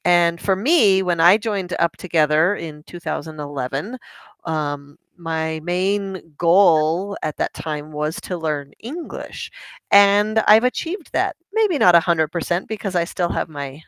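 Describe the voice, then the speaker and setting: A woman interpreting a guest's answer into English, measured and calm with soft fillers. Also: lowish voice, clean tone, no echo.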